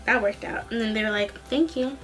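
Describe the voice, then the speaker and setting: A young woman telling a story in a casual, rambling vlog style, animated, with a slightly tired, tense voice. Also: on the high side, fairly quick, faint music behind, poor audio. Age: young adult. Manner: animated, rambling, acts out quoted dialogue. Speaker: student singer and vlogger.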